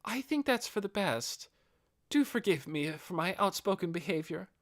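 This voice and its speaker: Beautiful guardian captain, voiced by a man: high, courteous, earnest and devoted servant for fantasy audiobook. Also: animated, moderate pace, fairly bright tone. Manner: polite, restrained, deferential. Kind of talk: audiobook character acting.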